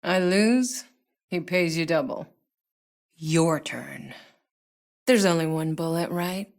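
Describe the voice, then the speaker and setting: Casting: hardened female game soldier, lowish voice, unhurried, wry and gritty, dramatic sci-fi delivery. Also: creaky, in a large hall. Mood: grim, guarded. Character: tough, sardonic female sci-fi outrider soldier. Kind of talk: video game voice lines, dramatic acting.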